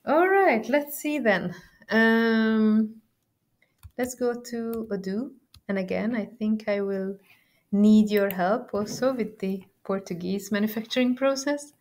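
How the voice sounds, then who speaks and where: A woman presenting as a software trainer, explaining a demo conversationally and repeating words as she searches for phrasing. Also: a little high, slow.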